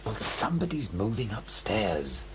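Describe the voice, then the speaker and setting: Casting: a matter-of-fact, affable camera man in audio horror, lower voice with lively inflection. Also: normal speed, slight background noise, low-quality recording. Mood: puzzled. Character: practical, affable male camera technician on ghost watch. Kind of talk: audio horror drama acting.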